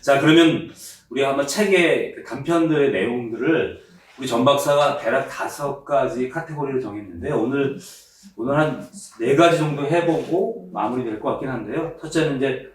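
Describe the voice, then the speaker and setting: A man hosting a relaxed book discussion, talking in an easy conversational way as he leads the group. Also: mid-range voice, moderate pace. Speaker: seminar host. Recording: very echoey room, mic far off.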